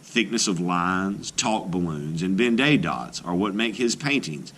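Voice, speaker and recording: A man reading a children's book aloud as an art teacher, in an expressive storytelling style with deliberate pauses. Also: deep voice, slight background noise. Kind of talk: scripted narration, children's read-aloud.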